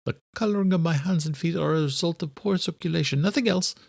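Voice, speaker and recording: A man's voice: on the high side, fast, gravelly, dry recording, studio quality, voice pushed up. A man voicing a formal, guarded woman assistant in an audio thriller. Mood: polite.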